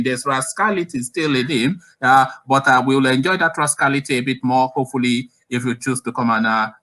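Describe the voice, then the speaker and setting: A man's voice: medium pitch, pushed and bright. A man hosting a live call-in discussion, talking in a loose, chatty way with many fillers. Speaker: host.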